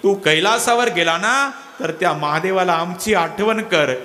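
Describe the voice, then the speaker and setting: Preacher as a tender, high-voiced lamenting mother. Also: a little slow, bright, forceful tone, in a large hall. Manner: tender, lamenting, addressing a child. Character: tender, proud mother speaking to her dead young son.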